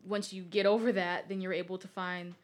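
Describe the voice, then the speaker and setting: A woman's voice: lowish voice, flat delivery, fairly quick. A teenage girl in casual conversation, chatty and laughing often, with many "like" fillers. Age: teens. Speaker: guest. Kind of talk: casual chat.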